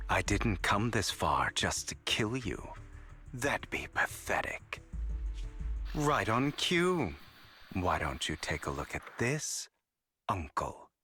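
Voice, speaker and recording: Smooth showy con man in a game, slow and dramatic, bright tone. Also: fairly low pitch, exaggerated voice. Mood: scheming. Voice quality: smooth, dramatic, sometimes whispering. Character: suave theatrical male master con artist. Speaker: voice actor. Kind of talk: game cutscene acting.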